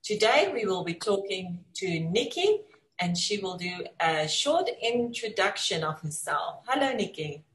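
A woman hosting an interview, speaking in a measured, encouraging way as she asks her guest questions. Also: mid-range voice, big pitch swings, fairly bright tone, rough recording. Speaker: interview host.

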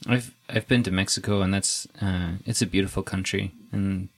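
Low creaky guy hosting a chill chat interview, lots of restarts and "you know". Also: normal speed. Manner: relaxed, restarts sentences, chuckles often. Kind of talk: casual conversational interview.

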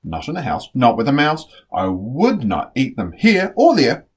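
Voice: medium pitch, huge pitch swings, moderate pace, slightly rough. A performer voicing a grumpy, stubborn old male grouch with curmudgeonly, emphatic refusals in a picture-book read-aloud.

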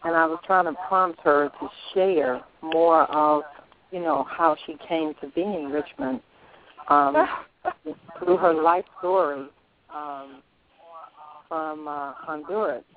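A woman's voice: deep voice, expressive, slow pace, creaky. A woman calling in to a radio show, enthusiastic and rambling with ums. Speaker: caller. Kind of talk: call-in radio. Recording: tinny phone line with crowd noise.

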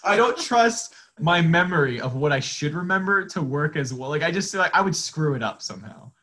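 A man's voice: fairly high pitch, lively intonation, brisk, a bit bright. A young man co-hosting a casual podcast chat, rambling and self-mocking as he asks questions. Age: young adult. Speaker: podcast co-host.

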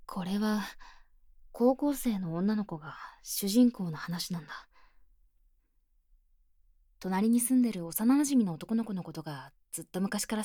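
A woman voicing an earnest, bashful high-school girl with stiff, boyish phrasing in an intimate binaural ASMR voice drama, close to the microphone. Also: warm tone, no echo, natural voice. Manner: stiff, boyish phrasing, shy and halting, often dropping to a whisper.

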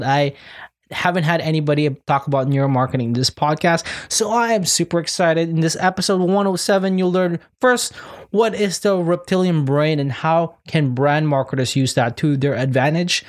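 A male podcast host with a fairly high voice, speaking with bright enthusiasm. He pitches his promos eagerly and repeats words as he sets up questions. His delivery stays upbeat and conversational. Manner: enthusiastic, quick ad reads with restarts. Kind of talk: energetic podcast interview.

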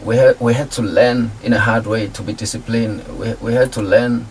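A man from Africa, a producer and artist, talking casually in a radio interview with a relaxed, slangy manner. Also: medium pitch, normal speed, distant mic, slight background noise, low-quality recording. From South Africa. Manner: relaxed, laid-back slang, laughs easily. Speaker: guest music producer and artist.

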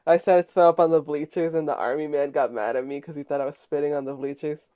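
A woman's voice: low-pitched, fairly even intonation, fast talker, mellow, dull audio. A young woman co-hosting a casual podcast, chatting playfully and teasing her brother with frequent giggles. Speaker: podcast co-host. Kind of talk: casual chat.

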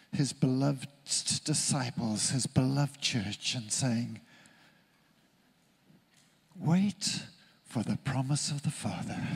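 A man from Zimbabwe, preaching a sermon in an earnest, deliberate way with emphatic repetition. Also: medium pitch, darkish tone, slightly rough.

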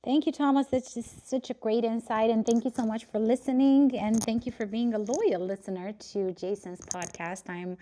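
A woman's voice: on the high side, fairly even intonation, normal speed, few pauses, warm tone. A woman in her thirties from Romania, with an American-sounding English accent, talking effusively as a podcast guest. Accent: American-sounding English, learned from cartoons. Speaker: guest speaker. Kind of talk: casual chat.

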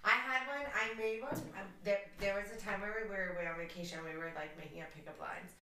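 A woman's voice: mid-range voice, steady tone, fast talker, sharp tone, a little hiss or hum. A woman co-hosting a casual podcast chat, playful and pushy, egging her friend on. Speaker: podcast co-host. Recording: very echoey room, voice distant.

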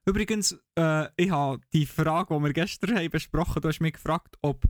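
A man's voice: fairly high pitch, normal speed, dry recording, studio quality. A man from the Bernese Oberland with a Bernese Swiss German accent, chatting casually as a podcast guest and laughing often. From Bernese Oberland, Switzerland.

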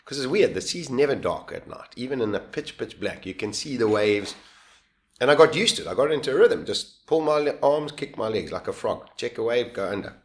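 A man being interviewed, telling a survival story in a casual, animated way, often restarting sentences and laughing at himself. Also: medium pitch, brisk.

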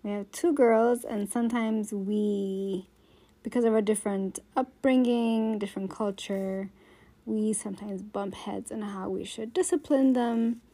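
A woman with a mid-range voice speaks slowly and carefully. She pauses to weigh her words. The delivery is calm and conversational. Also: medium pitch. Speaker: interviewee, wife and mother. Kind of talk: casual chat.

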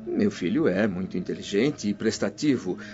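An actor playing a humble, deferential middle-aged scholar in a Brazilian radio drama, speaking in formal, measured phrases. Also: male voice, fairly low pitch, expressive, dark tone, close to an everyday voice. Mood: polite, modest. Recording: muffled radio drama with music underneath.

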